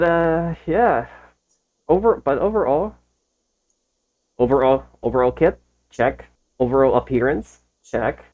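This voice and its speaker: Animated woman giving casual reactions. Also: extremely low pitch, poor audio. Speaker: reaction video host. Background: faint music.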